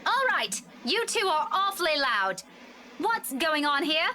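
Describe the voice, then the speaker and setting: A brisk young woman scolds two people for being too loud. Her voice is high, bright and lively, swinging up and down as she takes charge. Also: a little hiss or hum, full character voice. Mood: scolding. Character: bossy young woman coworker breaking up an argument. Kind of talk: video game voice acting.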